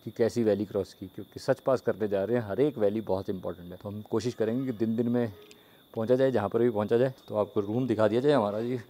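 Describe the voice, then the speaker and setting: A man's voice: lowish voice, fast, warm tone. A man, a travel vlogger talking casually to the camera. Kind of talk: casual recap talk to camera. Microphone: camera at about arm's length.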